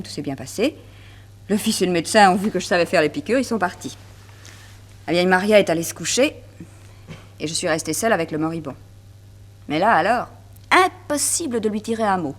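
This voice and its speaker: An actress playing a confident, capable French accomplice of a detective, brisk and matter-of-fact, in a 1960s radio serial. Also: female voice, on the low side, expressive. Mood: weary, pleased.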